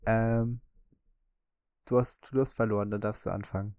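A man co-hosting a podcast, chatting casually through a guessing game and often pausing on drawn-out fillers. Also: lowish voice, fairly even intonation, a little mellow, clean tone, no room sound, studio quality. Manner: hesitant, many drawn-out fillers. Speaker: podcast co-host.

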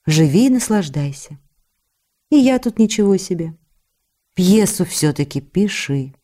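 A woman's voice: on the low side, expressive, measured pace, mellow. An actress playing a loving, wounded young wife, reading her letters in an intimate, earnest manner in a radio play. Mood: tender, remorseful.

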